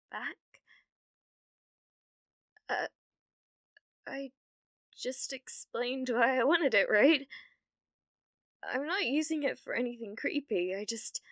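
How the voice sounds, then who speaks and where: A woman plays a lovestruck young witch with a British accent and a slightly high, breathy voice. She speaks slowly and haltingly, flustered and obsessive, often softening toward a whisper. It is an ASMR roleplay, recorded close and very dry. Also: no echo. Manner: hesitant, stammering, nervous giggling. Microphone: close microphone, dry sound.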